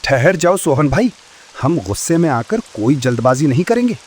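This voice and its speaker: A man as firm husky village peacemaker. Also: fairly high pitch, big pitch swings, normal speed. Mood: firm reassurance. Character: level-headed village man calming a mob. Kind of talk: dramatic Hindi moral-story cartoon acting.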